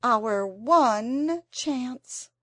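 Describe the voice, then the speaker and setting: A woman's voice: a little high, expressive, slow, no room sound, voice pushed up, heavily acted. A woman doing an earnest, dramatic young girl. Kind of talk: storybook character voice.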